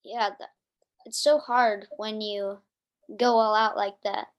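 A woman's voice: medium pitch, fairly even intonation, takes it slowly. A woman interviewing a singer in a casual conversation, asking questions and giving frequent short affirmations. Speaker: interviewer, musician.

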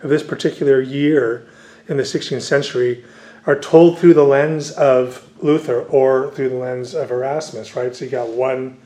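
A man's voice: medium pitch, fairly even intonation, moderate pace, dark tone. A man who grew up speaking Dutch, a visiting scholar and author answering interview questions at length, with many fillers and restarts.

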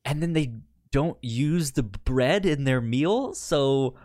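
A man with a stutter chatting casually as a podcast co-host, explaining things haltingly with repeated sounds and restarts. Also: medium pitch, expressive, darkish tone, no room sound, studio quality. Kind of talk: casual chat recapping sitcom episodes.

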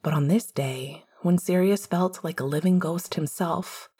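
A woman's voice: on the low side, measured pace, warm tone, slightly rough. A woman reading scripted fiction as an audiobook narrator.

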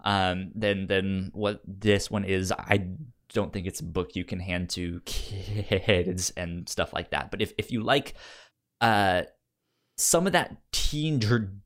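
A man chatting casually as a podcast co-host, halting, with a stammer that repeats sounds and syllables. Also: deep voice, fairly bright tone. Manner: halting, conversational. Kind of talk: casual podcast chat recommending comics.

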